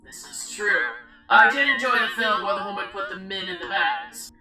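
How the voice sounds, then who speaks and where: A woman voicing a haughty, imperious immortal goddess of the void in a game, speaking formally and disdainfully with a creaky voice. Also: mid-range voice, normal speed, lightly acted, rough recording. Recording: loud music under the voice.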